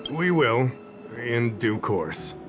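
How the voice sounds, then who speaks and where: An actor playing a casual, chatty middle-aged security officer who rambles a little in a game cutscene. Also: male voice, mid-range voice, big pitch swings, drawn-out words. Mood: explaining. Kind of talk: game voice acting. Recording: game cutscene with music behind.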